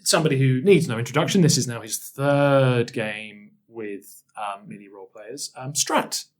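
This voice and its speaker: A man's voice: mid-range voice, normal speed. A man hosting a casual look-back podcast chat, often circling back over his words in a hesitant way. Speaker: podcast host.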